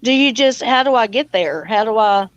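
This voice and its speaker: A woman's voice: a little high, animated, moderate pace, dry recording. A woman hosting a casual livestream chat over an online group call, with lo-fi audio. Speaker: livestream host. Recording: dull, lo-fi stream audio.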